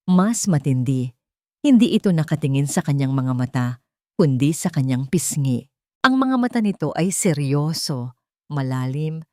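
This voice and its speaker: A woman's voice: low voice, mellow, no echo, clean studio sound. A woman with a Filipino accent reading a scripted dramatic story as its narrator.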